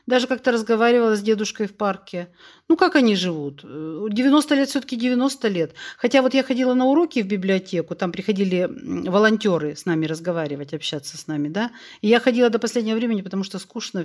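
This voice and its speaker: A woman around sixty, originally from Russia, talks as a podcast guest. She is frank and animated and speaks openly in an easygoing conversational way. She often acts out dialogue while telling anecdotes. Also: mid-range voice. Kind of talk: candid conversational storytelling.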